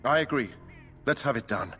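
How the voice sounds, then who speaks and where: An anxious knight recruit. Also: male voice, medium pitch, animated, normal speed, sharp tone, faint music behind. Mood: worried. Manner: worried, complaining. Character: anxious male knight recruit, expectant father. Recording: game audio with long reverb.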